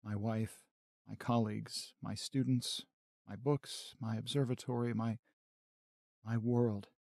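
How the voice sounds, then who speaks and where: A man playing a haunted astronomy professor and lone survivor, narrating his journal in a brooding, deliberate, theatrical way. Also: fairly low pitch, level tone, creaky, darkish tone, dry recording, studio quality, heavily acted. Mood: anguished doubt. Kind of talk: dramatic first-person journal narration.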